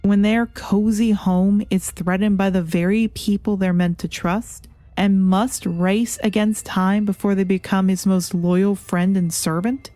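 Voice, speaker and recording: A woman's voice: mid-range voice, steady tone, measured pace, hardly any pauses, dark tone, no room sound. A woman hosting a review show, reading a scripted video essay with a dramatic, emphatic storytelling delivery. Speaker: host of a comic review show.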